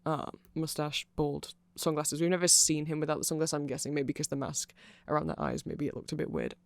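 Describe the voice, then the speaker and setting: A man's voice: on the high side, a little fast, vocal fry, no room sound. A man giving a rambling ASMR explainer, often correcting himself as he goes. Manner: rambling, self-correcting, chuckling asides. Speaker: ASMR creator explaining band lore.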